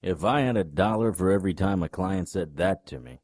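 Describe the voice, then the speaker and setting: A man playing a jaded, sardonic occult investigator, dry and deadpan, in a noir audio drama. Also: sits low, a little fast, slight background noise, close to an everyday voice.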